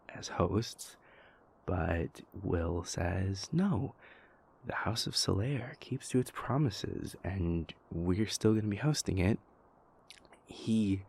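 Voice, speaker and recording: A man playing a stressed young vampire prince confiding in his lover in an intimate, halting audio roleplay monologue, with a husky, gravelly voice. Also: low voice, a little mellow, heavily acted. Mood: tense, frustrated. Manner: halting, hesitant, exasperated, conversational.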